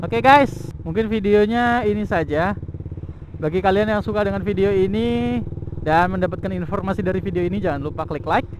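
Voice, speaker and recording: A young man riding a motorcycle outdoors and chatting excitedly into his camera mic, casual and slangy. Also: sits high, fairly quick. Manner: excitable, slangy, chatty, bursts of loud enthusiasm. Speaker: motovlogger. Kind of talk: casual motorcycle test-ride vlog. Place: outdoors on a moving motorcycle on town streets. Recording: loud engine and traffic noise.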